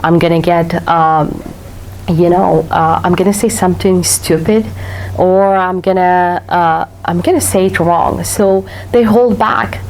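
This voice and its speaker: A woman's voice: lowish voice, steady tone, unhurried, a little mellow, a little hiss or hum, low-quality recording. A woman from Africa with an Eritrean accent, speaking as a guest author at a library talk, laughing often and repeating words for emphasis. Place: public library room.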